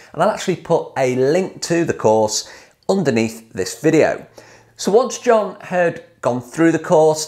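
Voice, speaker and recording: A man's voice: mid-range voice, darkish tone. A man in his forties with a British accent, hosting a chatty, enthusiastic explainer straight to camera in a studio. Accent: British English. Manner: upbeat, chatty, salesy enthusiasm. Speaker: DIY hot tub course creator and channel host.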